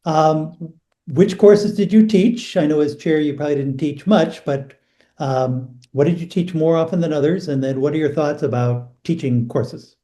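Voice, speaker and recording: A man conducting an oral history interview, asking gentle questions and giving brief listening replies. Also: fairly high pitch, normal speed.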